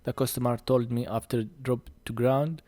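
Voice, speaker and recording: A man who speaks English as a second language gives a hands-on repair tutorial in halting short phrases, a little short of breath. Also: medium pitch, fairly even intonation, warm tone, no echo. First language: not English. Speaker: phone repair technician presenting a tutorial.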